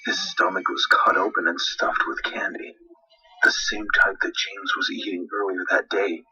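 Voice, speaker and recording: A man's voice: low-pitched, level tone, normal speed, bright, forceful tone, husky, muffled recording. A man reading a scripted first-person horror story as narrator, with dramatic, suspenseful delivery.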